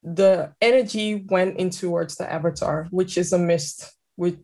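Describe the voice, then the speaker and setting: A woman's voice: lowish voice, fairly even intonation, a little slow, dark tone. A woman speaking earnestly and with emphasis in a casual panel discussion, often restarting her sentences.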